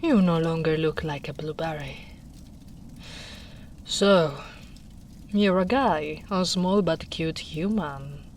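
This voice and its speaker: A woman voicing a gentle, caring big female ogre in a soft-spoken, soothing and lightly teasing ASMR roleplay. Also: low voice, slow, long pauses, a little hiss or hum. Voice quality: soft, hushed.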